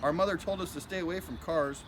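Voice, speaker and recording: A man's voice: on the high side, fast, natural voice. Man plays eager young storybook puppy, curious and childlike, over background noise. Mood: alarmed. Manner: childlike questioning and exclaiming.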